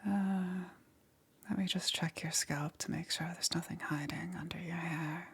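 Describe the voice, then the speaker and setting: A woman playing a soft-spoken, secretive witch in an intimate ASMR roleplay, soothing and hesitant, often dropping into a whisper. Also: on the low side, steady tone, measured pace, exaggerated voice. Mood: careful, attentive. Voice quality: breathy, husky, often whispering.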